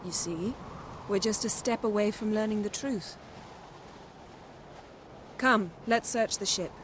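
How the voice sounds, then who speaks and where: Poised, assertive sorceress played by a woman, mid-pitched. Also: slow pace, noisy background, close to an everyday voice. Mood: composed, assertive. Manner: articulate, precise, self-assured. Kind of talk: video game voice acting.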